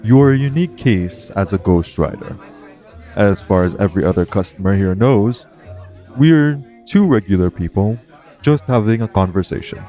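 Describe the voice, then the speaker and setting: A calm guardian angel, acted by a man for an audio roleplay, speaks in a very low, mellow voice. The delivery is formal and measured, explaining things earnestly and protectively to the listener. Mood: polite, reassuring.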